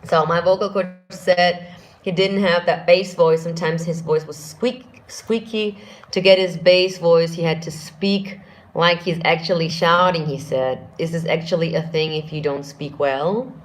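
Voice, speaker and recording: A woman vocal coach with a deep speaking voice, answering viewer questions and demonstrating exercises in a live singing lesson. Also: fairly even intonation, a little slow, slight background noise.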